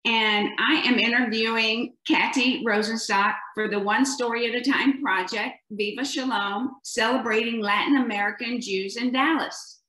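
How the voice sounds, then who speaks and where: A woman's voice: a little high, fairly even intonation, normal speed, hardly any pauses, pushed and bright. A woman interviewer introducing an oral history project and asking brief, direct questions. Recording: roomy echo.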